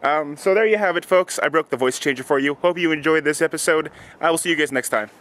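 A man's voice: on the high side, fast, fairly bright tone. A nineteen-year-old man chatting casually and offhandedly to a handheld camera outdoors as the host of an online video. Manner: offhand, informal. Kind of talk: casual vlog chat and demonstration. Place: outdoors in a snowy yard. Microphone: handheld camera at arm's length or set nearby.

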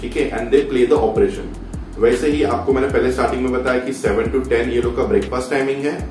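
A man's voice: mid-range voice, brisk, a little mellow. Hindi-English mixing man in a roomy echo. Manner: mixes Hindi and English, repeats "ठीक है" and "आपको बताना चाहूंगा". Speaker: vlogger explaining cruise ship jobs. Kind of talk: informal instructional talk to camera. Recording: roomy echo, distant mic, faint music behind.

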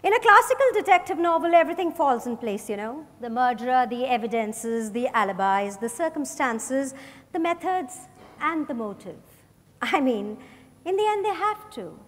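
Woman acting probing high-voiced detective in echoey hall. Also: expressive, normal speed. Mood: measured, probing. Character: probing, accusatory woman political detective, deliberate and philosophical. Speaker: stage actor in a dramatized reading. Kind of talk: theatrical dramatized reading. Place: large hotel ballroom stage. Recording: echoey hall, picked up from the audience.

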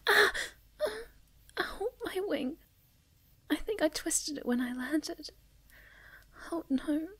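A woman playing a sweet, timid young moth girl in an ASMR roleplay, speaking softly and breathily with hesitant stammers. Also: sits high, lively intonation, slow, full character voice. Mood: startled, hurting. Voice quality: soft, breathy, often near a whisper.

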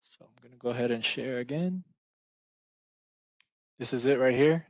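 A young man from the Philippines, Tagalog his first language, talks easygoingly on a video call. His mid-pitched voice is close and dry through a headset, and he lets his sentences fade out softly. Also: normal speed, no room sound, rough recording. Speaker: support engineer guest. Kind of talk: casual video call chat.

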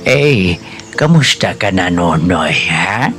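Slow, creaky kindly old grandfather. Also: low-pitched, sing-song, a bit bright, faint music behind, full character voice. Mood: gentle, reassuring. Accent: Hiligaynon (Western Visayas). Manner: hesitant, filling speech with repeated "eh". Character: kindly elderly grandfather soothing his grandson. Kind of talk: radio drama acting.

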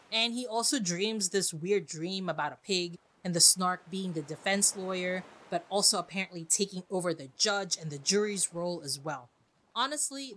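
A woman's voice: mid-range voice, a bit bright. A woman hosting a podcast, giving chatty solo commentary on literature with wry, playful asides. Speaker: podcast host.

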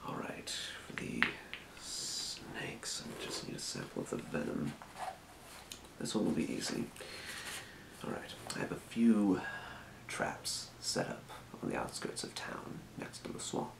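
A man acts as an old-world fantasy potion shopkeeper in an ASMR role play. He murmurs in a breathy, extremely deep whisper full of crackling fry, quietly businesslike and unhurried. The roomy recording has audible noise. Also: wildly swooping pitch, exaggerated voice. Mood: calm explaining. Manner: murmuring, unhurried, muttering to himself. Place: small room, indoors at a desk.

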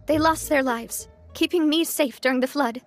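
Woman performing a young female boss, high-pitched, composed, gentle, candid, video game dialogue, faint music behind. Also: normal speed, natural voice. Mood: somber.

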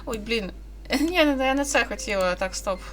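A woman hosting a visual novel playthrough, commenting casually and haltingly as she goes. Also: a little high, expressive, fairly bright tone. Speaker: gaming video host. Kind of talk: visual novel let's play, reading dialogue aloud and commenting.

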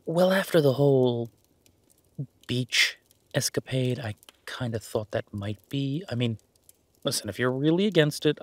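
A man playing a shy, gentlemanly boyfriend in an intimate romantic audio roleplay, speaking softly and haltingly with gentle teasing. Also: mid-range voice, dry recording, close to an everyday voice. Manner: hesitant, soft-spoken, gently teasing.

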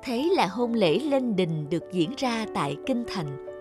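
Female storyteller, mid-pitched, scripted fairy-tale narration over a music bed. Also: very animated, a little slow. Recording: music under the voice.

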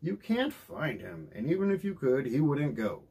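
A man acting a doubtful, dismissive friend in a storybook. He is emphatic, with a raspy voice and lively intonation. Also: fairly high pitch, normal speed, exaggerated voice. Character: skeptical, discouraging male friend.

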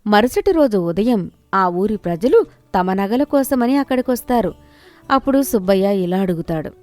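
A woman's voice: mid-range voice, animated, moderate pace, a little mellow. A woman reading a scripted moral story as its storyteller. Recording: music behind the voice.

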